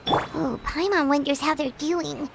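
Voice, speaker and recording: A woman voicing a tiny childlike fairy companion in a video game, bubbly, chatty and expressive. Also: sits high, unhurried, creaky, heavy background noise. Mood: concerned, relieved. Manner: bubbly, expressive, third-person self-reference. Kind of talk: cartoonish video game voice acting. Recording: loud game music behind voice.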